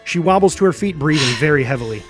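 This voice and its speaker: Higher-voiced man narrating action over music. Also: animated, fast. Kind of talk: scripted narration of action stage directions.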